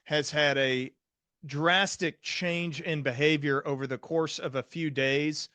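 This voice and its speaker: A man's voice: fairly high pitch, fairly even intonation, a little slow, pushed and bright, no echo. A man hosting a conversational interview as a psychiatrist, talking in an earnest, explanatory way. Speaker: podcast host, psychiatrist.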